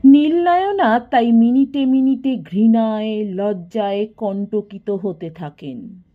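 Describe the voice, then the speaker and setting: A woman narrating a literary story in an expressive, measured and dramatic way. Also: on the high side. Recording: dull, lo-fi recording.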